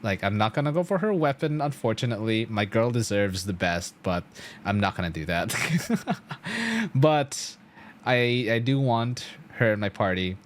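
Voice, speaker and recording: A young man live-streaming a game, chatting casually with lots of slang, swearing and animated reactions. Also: on the low side, normal speed, a little hiss or hum.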